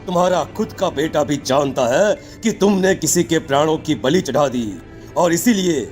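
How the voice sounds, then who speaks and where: A man's voice: a little high, moderate pace, a little mellow, soft background music, natural voice. A man playing a stern, commanding tantric holy man in a dramatic cartoon horror story, solemn and accusing.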